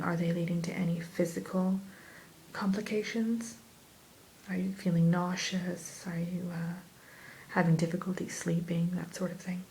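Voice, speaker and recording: A woman playing a kind, reassuring therapist in a whispered ASMR roleplay, speaking gently and attentively without hurry. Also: fairly low pitch, gravelly, a little hiss or hum, low-quality recording. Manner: soothing, gentle, attentive, unhurried. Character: kind, reassuring female therapist welcoming a new patient.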